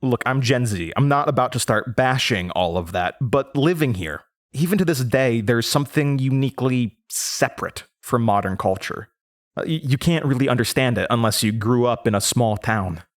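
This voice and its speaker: A man's voice: medium pitch, lively intonation, moderate pace, dry recording, studio quality, lightly acted. Man playing young Midwestern storyteller, hesitant, rambling, conversational, uneasy, audio drama testimony. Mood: reflective. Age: young adult. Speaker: audio drama lead character.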